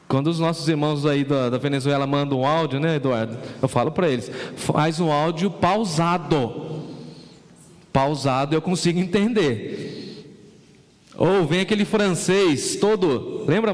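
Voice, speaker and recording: A Brazilian man with a São Paulo countryside caipira accent, preaching a sermon in a conversational way and calling on congregation members by name. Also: sits high. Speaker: pastor. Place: church sanctuary. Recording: church hall reverb.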